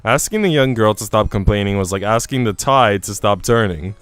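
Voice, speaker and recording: A man's voice: lowish voice, animated, brisk, a bit bright, clear, resonant tone, no room sound, faint music behind. A man live streaming, switching between casual slangy chat and reading visual novel narration aloud. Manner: slangy, informal.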